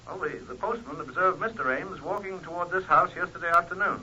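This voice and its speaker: Dutiful police sergeant reporting to his boss, slightly high voice, muffled old radio play. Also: brisk, sharp tone, husky, full character voice. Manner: respectful, methodical reporting. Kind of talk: old radio drama acting. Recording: muffled old radio recording, music behind.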